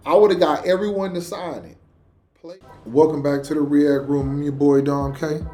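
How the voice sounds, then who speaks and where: A man's voice: on the high side, normal speed, dark tone, soft background music. A man chatting casually in a slangy, conversational way as host of a reaction show.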